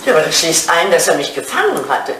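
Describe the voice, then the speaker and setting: A woman's voice: deep voice, wildly swooping pitch, fast talker, a bit bright, heavily acted. An actress playing an elderly, curious English lady in a 1980s German radio drama, with a ladylike, inquisitive and theatrical manner. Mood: curious, probing. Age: older. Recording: distant, roomy, noisy old radio recording.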